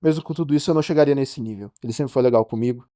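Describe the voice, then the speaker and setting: A man voicing a downcast, defensive ship's cook in an animated film, talking in a colloquial, confiding way. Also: mid-range voice, racing, no room sound, studio quality, lightly acted.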